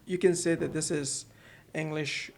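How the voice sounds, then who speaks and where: Gravelly-voiced man. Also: fairly high pitch, steady tone, a little slow, a little mellow. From Pakistan. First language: Urdu. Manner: hesitant, many fillers and repeated words. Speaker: guest, center manager. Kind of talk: casual podcast interview. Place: office.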